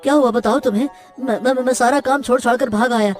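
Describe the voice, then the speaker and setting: A woman's voice: a little high, quick pace, a little mellow, soft background music, lightly acted. A woman voicing a flustered, devoted young family man in a Hindi cartoon drama, repeating and stammering over words. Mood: panicked. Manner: breathless repetition, stammering.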